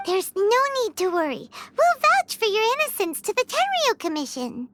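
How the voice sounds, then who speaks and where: A woman's voice: huge pitch swings, moderate pace, background noise, heavily acted. A woman voicing a tiny, childlike fairy companion in a video game, cheeky and cutesy in manner. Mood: reassuring, curious. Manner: squeaky, cutesy, refers to self in third person. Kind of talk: cartoonish video game voice acting.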